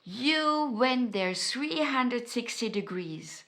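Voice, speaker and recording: A woman's voice: a little high, animated, takes it slowly, fairly bright tone. A woman from Austria, a native German speaker with an Austrian German accent, giving a motivational talk to her viewers. She speaks earnestly and searches for words, often restarting her phrases. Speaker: YouTube host.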